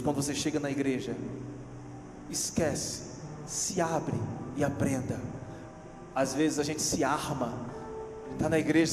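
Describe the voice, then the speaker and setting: Brazilian pastor preaching in a reverberant church. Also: male voice, a little high. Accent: Carioca Brazilian Portuguese. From Rio de Janeiro, Brazil. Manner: animated preaching, acts out everyday scenes, asks the congregation for amens. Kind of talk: sermon. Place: church hall.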